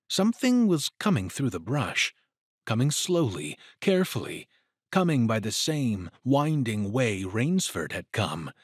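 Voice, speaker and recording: A man's voice: fairly low pitch, breathy edge, dry recording, studio quality. A man narrating a scripted adventure story in a dramatic style, as the narrator of an audio drama.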